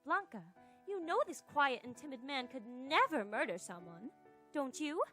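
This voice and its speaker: A woman voicing a scheming, sweet-talking lady villain who feigns innocence, genteel and theatrical, in an animated fan drama. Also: high voice, moderate pace, soft background music. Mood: feigned concern.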